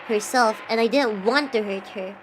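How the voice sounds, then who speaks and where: A woman's voice: fairly high pitch, normal speed, slight background noise, rough recording. A woman streamer reading a visual novel aloud on a casual livestream, breaking off for chatty, giggly asides. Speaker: VTuber streamer. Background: soft game music.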